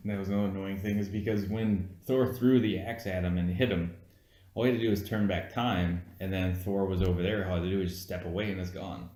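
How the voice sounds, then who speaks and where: Blunt man in an echoey room, lowish voice. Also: fairly even intonation, brisk, dark tone. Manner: blunt, argumentative, swears freely. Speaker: podcast co-host. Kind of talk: casual film review chat.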